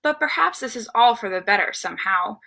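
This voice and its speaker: A woman's voice: on the high side, animated, brisk, creaky, sharp tone, full character voice. A woman voicing a proud, determined old grandfather in a storybook, speaking in a firm, declamatory way.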